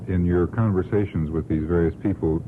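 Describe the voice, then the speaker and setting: Very deep-voiced man questioning on radio. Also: steady tone, fairly quick. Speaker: panelist questioning the guest. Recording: muffled, noisy old radio broadcast tape.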